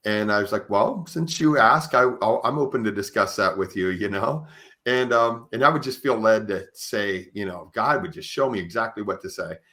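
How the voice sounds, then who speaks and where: Male podcast host, somewhat low voice, halting conversational storyteller. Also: bright, forceful tone. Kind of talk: conversational interview with personal anecdotes.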